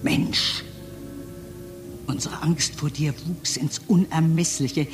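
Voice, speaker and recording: A man acting a solemn poetic monologue in a radio play, an anguished, accusing figure addressing mankind, with dramatic declamation that falls into hushed tones. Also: on the high side, steady tone, slow pace. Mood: fervent, accusing. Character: anguished male speaker reciting a fervent accusatory address to mankind. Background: music.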